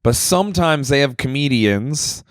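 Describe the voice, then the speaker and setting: A man comedian chatting on a podcast, his voice hoarse and crackly from overuse, talking loudly and swearing freely. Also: medium pitch, expressive, measured pace, no echo. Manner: loud, emphatic, swears freely. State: hoarse, recovering voice. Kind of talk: casual podcast chat.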